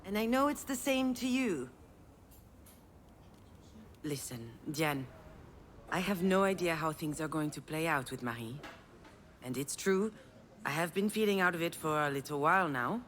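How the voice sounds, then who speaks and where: An actress playing a self-deprecating, conciliatory sister in earnest, conversational game dialogue. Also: female voice, sits low, lively intonation, moderate pace, long pauses, a bit bright, slight background noise.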